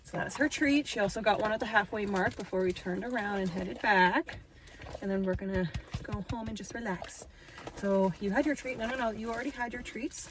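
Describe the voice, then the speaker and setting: A young woman with a nasal, stuffed-up voice chatting casually in a vlog, first from her parked car and then out on a ravine walking trail. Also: noisy background. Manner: chatty, self-deprecating, talks in a high sweet voice to her dog. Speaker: vlogger.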